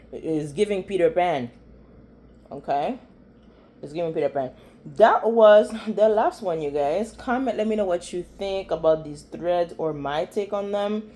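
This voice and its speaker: A woman's voice: lowish voice, mellow. A woman with a Haitian accent giving blunt, chatty commentary as a vlogger reacting to online threads. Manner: blunt, opinionated, chatty with many fillers.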